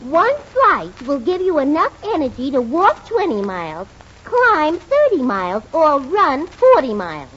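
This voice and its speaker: A woman playing a wisecracking, man-hungry bakery clerk in a 1940s radio comedy, brash and deadpan with her punchlines. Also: sits high, measured pace, exaggerated voice. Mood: brash, joking. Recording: vintage 1940s radio, muffled, faint noise.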